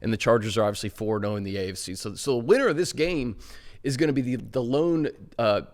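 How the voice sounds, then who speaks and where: A man hosting a casual sports talk show, chatty, trading quick remarks and hedging with fillers. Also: mid-range voice, darkish tone. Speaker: host.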